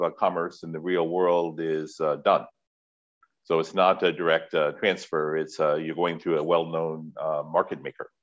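Older man lecturing over a dull call. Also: low voice. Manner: measured, hesitant with frequent throat clearing. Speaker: keynote speaker. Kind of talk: academic lecture. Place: home office, indoors. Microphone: headset. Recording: dull lo-fi video call audio.

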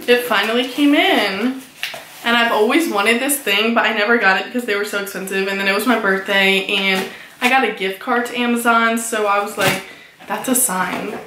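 A young woman in her late teens chats through a haul video, peppering her speech with "like". Her voice is a little hoarse from a cold. The sound is roomy, with a faint hiss behind her. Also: mid-range voice, steady tone, normal speed, sharp tone. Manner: chatty, many "like" fillers, upbeat about purchases. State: recovering from a cold, somewhat hoarse. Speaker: vlogger. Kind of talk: casual haul vlog.

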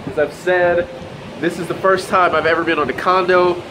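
A man's voice: high-pitched, steady tone, moderate pace, background noise. A young man in his twenties with a Southern US accent, vlogging to a handheld camera on vacation, chatty and amazed. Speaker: fishing vlogger. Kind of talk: casual vlog talk to camera. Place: condo balcony, beach and big store. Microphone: handheld camera at arm's length.

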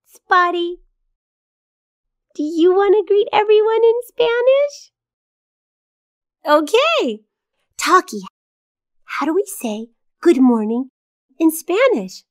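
A woman's voice: extremely high pitch, big pitch swings, slow pace, long pauses, dry recording, studio quality, exaggerated voice. A woman voicing a kind, curious little girl in a children's cartoon, asking the young audience simple questions. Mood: bright, encouraging. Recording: children's music under the voice.

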